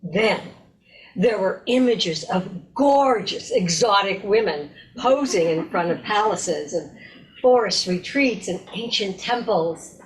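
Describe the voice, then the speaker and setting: A woman reads a short story aloud at an unhurried, slow pace. Her voice sits at a medium pitch, in a scripted narrator's delivery. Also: animated, poor audio. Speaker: reader in a readers theater.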